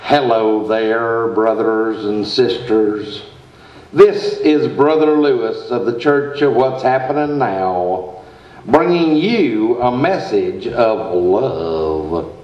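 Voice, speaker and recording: Earnest small-town preacher , male actor, radio-play comedy, unhurried sermonizing, echoey and slightly dull stage sound. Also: on the low side. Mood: earnest, unctuous. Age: middle-aged. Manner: slow, deliberate, sermonizing delivery. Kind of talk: comic old-time radio sketch, read from script. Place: theater stage before a live audience. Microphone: stand microphone, heard in the hall.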